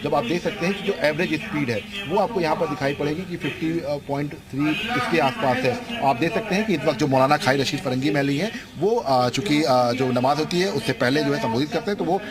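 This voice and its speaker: A man reporting live for the news in a wordy, halting way, repeating himself. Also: on the high side, loud music behind the voice.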